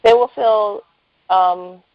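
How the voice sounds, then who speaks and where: Gravelly woman on a muffled phone. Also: medium pitch, fairly even intonation, slow pace, no echo. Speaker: interview guest, writer and entrepreneur. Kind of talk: casual phone interview chat. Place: phone call. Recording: dull lo-fi phone line.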